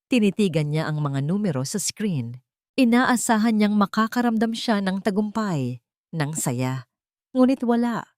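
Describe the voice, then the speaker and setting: A woman narrating a scripted drama story in a measured, deliberate way with dramatic phrasing. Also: medium pitch, sing-song, darkish tone, no echo, studio quality.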